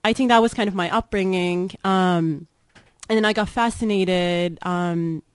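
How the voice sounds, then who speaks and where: A woman's voice: lowish voice, unhurried, clean tone, no room sound. A woman from Turkey with a Turkish accent, answering as an expert guest in an informal, conversational way. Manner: informal, frequent "like" and "kind of". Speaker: guest expert, political science professor.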